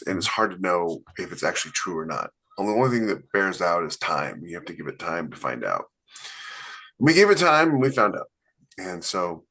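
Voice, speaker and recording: A man's voice: deep voice, pushed and bright. A man co-hosting a podcast chats casually and rambles, with many fillers and restarts. He is a writer talking shop with friends. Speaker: podcast co-host, author. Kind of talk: casual panel chat.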